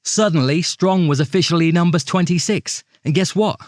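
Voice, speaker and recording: Male actor as suspicious storybook man, insistent, dramatic, fairly high male voice, dry close sound. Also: normal speed, no room sound, lightly acted. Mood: accusing. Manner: insistent, dramatic, rhetorical questions. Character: suspicious, accident-prone storybook man airing conspiracy theories. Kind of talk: audio drama acting.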